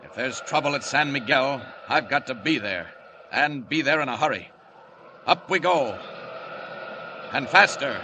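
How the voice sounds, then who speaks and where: A man's voice: mid-range voice, expressive, measured pace, long pauses, natural voice. A man playing a confident, commanding flying superhero in an old radio serial, speaking in a bold, declamatory way. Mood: confident, determined. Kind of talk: 1940s radio acting. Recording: noisy old radio recording.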